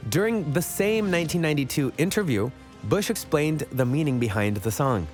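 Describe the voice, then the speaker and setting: A man's voice: fairly high pitch, animated, normal speed, faint music behind. A man reading scripted narration for an online countdown list video.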